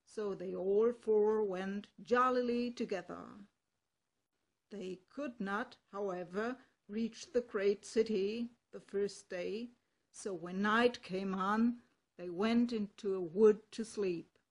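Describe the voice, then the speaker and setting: An older woman from Germany with a German accent, reading a fairy tale aloud in a gentle, unhurried way. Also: mid-range voice. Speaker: storyteller.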